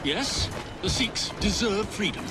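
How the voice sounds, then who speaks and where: A man's voice: high-pitched, animated, a little slow, over loud music. An actor playing a haughty British statesman in a game cutscene, blunt, condescending and oratorical. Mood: lofty, blunt. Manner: oratorical, scornful, formal. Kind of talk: dramatic game cutscene acting. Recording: loud horse and street noise behind.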